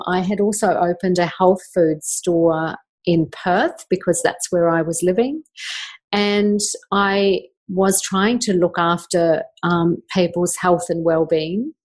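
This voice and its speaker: A woman's voice: fairly low pitch, a little mellow. A middle-aged woman, telling her own story reflectively as an interview guest. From New Zealand. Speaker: guest, skincare product maker.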